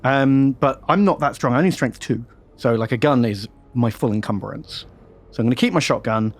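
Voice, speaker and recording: A man's voice: mid-range voice, brisk. A man playing a tabletop game, chatting casually with many hedges. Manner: casual, hedging with "like". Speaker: player.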